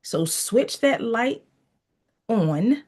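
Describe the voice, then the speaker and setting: A woman's voice: fairly high pitch, expressive, extremely slow, darkish tone. A woman coaching in a motivational teaching session, preaching with repeated phrases and telling listeners to write key lines down. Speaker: mindset coach.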